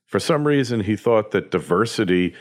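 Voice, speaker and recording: A man's voice: on the low side, expressive, moderate pace. An American man delivering opinion commentary as a podcast host, in an emphatic, opinionated way. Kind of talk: opinion commentary, partly scripted monologue.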